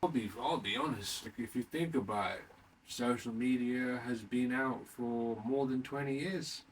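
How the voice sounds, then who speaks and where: A man in his late thirties hosting a solo podcast in a rambling, unscripted monologue, haltingly repeating and rephrasing himself and putting questions to the listener. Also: medium pitch. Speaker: podcast host. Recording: distant mic with faint background noise.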